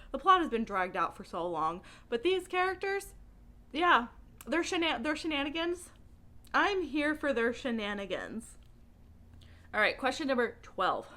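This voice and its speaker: A woman speaks casually, as if chatting to followers in a book vlog. Her voice sits on the high side and has a very bright, forward edge. She is animated and giggly, rambling along informally. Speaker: book reviewer vlogger.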